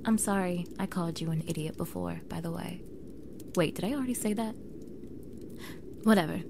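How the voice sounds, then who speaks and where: A young woman acting a standoffish, awkward mean girl who is softening, in an intimate ASMR roleplay, halting and flustered, with soft breathy delivery. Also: lowish voice, noisy background. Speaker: audio roleplay voice actor.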